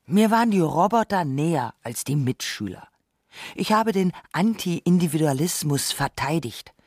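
A performer voicing a reflective radio narrator who muses on robots and humans in deliberate, rhetorical phrases. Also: medium pitch, dry recording, clean studio sound. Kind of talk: scripted radio essay narration. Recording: music behind the voice. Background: music.

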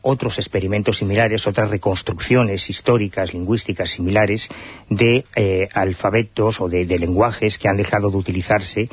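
Male radio co-host, husky, lowish, explanatory, lo-fi sound. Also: steady tone, normal speed, dry recording. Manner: storytelling, explanatory. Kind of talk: radio talk show segment. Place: radio studio. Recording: dry lo-fi radio broadcast.

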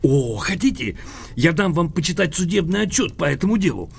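A pompous, litigious old gentleman in an audio drama. His voice sits rather high and swings wildly up and down, with a gravelly creak. He is boastful, excitable and theatrical in everything he says. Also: brisk, exaggerated voice. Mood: proud, indignant. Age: older. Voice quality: creaky, husky.

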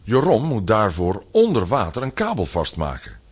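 A man's voice: lowish voice, huge pitch swings, moderate pace, breathy edge. A man giving dramatic scripted narration as a storyteller.

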